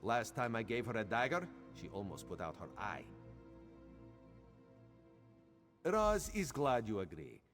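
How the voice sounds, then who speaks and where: Vain playful cat man from a video game, theatrical n really animated, bit of music under it. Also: lowish voice, moderate pace. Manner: theatrical, self-assured, refers to himself by name. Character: vain, playful male cat-man agent speaking in third person. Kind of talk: video game character dialogue. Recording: music behind the voice.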